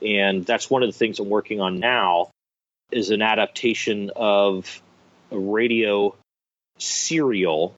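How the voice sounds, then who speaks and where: A man's voice: on the low side, fairly even intonation, takes it slowly, fairly bright tone, muffled recording. A man speaking as a radio theatre director in an interview, explaining things conversationally, step by step and with examples.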